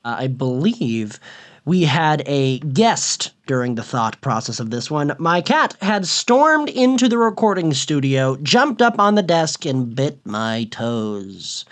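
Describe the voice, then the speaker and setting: A man's voice: a little high, very animated, slow pace. A man co-hosting a show, explaining things in a casual chat. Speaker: co-host.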